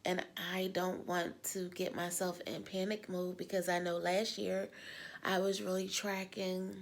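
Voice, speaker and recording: Middle-aged woman vlogger, low and gravelly, husky with a cold, rambling and repetitive. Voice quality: husky smoker's-like voice. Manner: rambling, repeats words, sighs often. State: coming down with a cold. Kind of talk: casual personal vlog talk.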